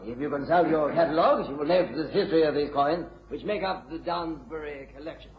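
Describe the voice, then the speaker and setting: A man's voice: on the high side, brisk, close to an everyday voice. A man playing a fussy, courteous auctioneer in a radio drama, speaking formally and hesitantly. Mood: formal, brisk. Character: flustered, formal male auctioneer, stuffy and courteous. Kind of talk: British period radio drama acting. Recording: muffled, echoey old radio, crowd behind.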